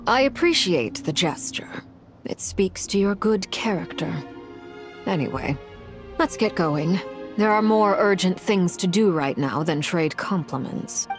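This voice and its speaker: An actress voicing a composed, commanding woman in a video game, with a measured, formal delivery and quiet care. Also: lowish voice, expressive, music in the background. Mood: brisk, businesslike. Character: composed, commanding adult woman, calculating yet quietly caring. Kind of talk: video game cutscene voice acting.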